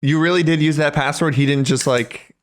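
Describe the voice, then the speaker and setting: A man's voice: fairly high pitch, steady tone, a little fast. A man co-hosting a podcast in casual comedic chat, slangy and profane. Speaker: podcast co-host.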